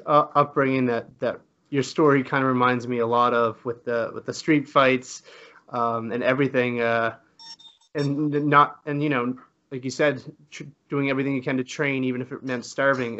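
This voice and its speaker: Halting male interviewer. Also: mid-range voice, fairly even intonation, sharp tone, dull audio. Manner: conversational, halting with fillers. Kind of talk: casual interview questions.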